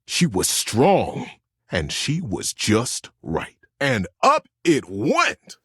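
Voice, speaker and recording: A man reading a children's story aloud in an expressive, suspenseful, dramatic storybook style. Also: mid-range voice, extremely slow, slightly rough, no echo, studio quality.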